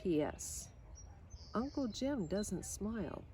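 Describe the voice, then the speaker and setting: A woman reading a children's picture book aloud in an expressive way, now and then speaking directly to her listeners. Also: mid-range voice, slight background noise. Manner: expressive, pausing for short asides to listeners. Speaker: storybook reader.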